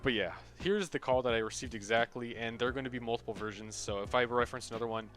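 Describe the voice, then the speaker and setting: A young American man delivers casual comedic commentary with a sarcastic edge. He swears freely, and soft background music sits under his voice. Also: medium pitch, quick pace. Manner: sarcastic, profane. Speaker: video creator.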